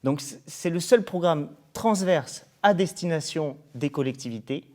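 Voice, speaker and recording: Higher-voiced Frenchman giving a formal explanation. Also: expressive, moderate pace, a little mellow. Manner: formal, explanatory, enumerating in lists. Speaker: programme coordinator being interviewed. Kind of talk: promotional interview presentation.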